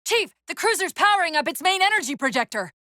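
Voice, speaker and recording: A woman playing a brisk, wry, urgent military AI companion in a video game, giving crisp commands and tactical briefings. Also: extremely high pitch, sing-song, sharp tone, no room sound, studio quality, full character voice. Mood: urgent, alarmed.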